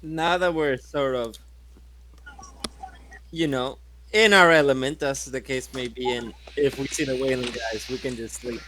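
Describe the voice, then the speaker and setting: A man talks casually as a player in a tabletop game. His pitch is middling, with faint noise behind him. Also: fairly even intonation, unhurried, a bit bright, low-quality recording.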